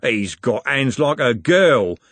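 A man's voice: mid-range voice, big pitch swings, slow pace, a bit bright, no room sound, voice pushed up, heavily acted. A man voicing a menacing male street gang leader in a storybook, with a blunt, mocking, sneering manner.

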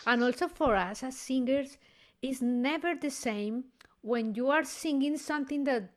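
A woman's voice: sits high, measured pace. A woman with a slightly raised voice, a classical singer chatting in an easy, effusive way. She restarts her sentences often as she searches for the right words. Her speech is loose and conversational. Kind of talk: casual conversation.

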